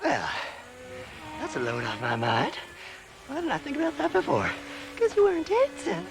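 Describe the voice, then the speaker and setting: An actor playing a crazed, gleefully unhinged grave-robbing treasure hunter in a western video game, with an American Southern accent, a cackling voice and a manic, taunting manner. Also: male voice, wildly swooping pitch, normal speed, over music, heavily acted, rough recording. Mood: giddy. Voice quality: high, creaky, cackling voice. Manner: manic, taunting, swinging between mutters and shrieks.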